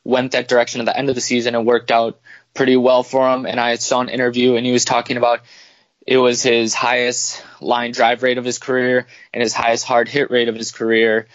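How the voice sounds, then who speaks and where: Guy, pretty monotone, casual sports podcast chat, dull dry audio. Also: mid-range voice, fairly quick, no echo.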